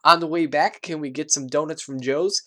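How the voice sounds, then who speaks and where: A man voicing a bubbly, excitable, childlike teenage girl with an eager manner in a story reading. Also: on the high side, moderate pace, voice pushed up. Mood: excited. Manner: eager, bouncy.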